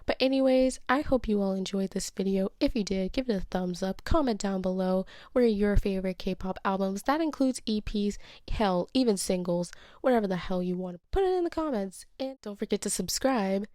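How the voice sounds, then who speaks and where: A woman's voice: mid-range voice, moderate pace, hardly any pauses, mellow, dry recording, studio quality. Congested, gushing woman vlogger. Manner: gushing, sassy, playful. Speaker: YouTuber. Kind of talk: casual music ranking vlog.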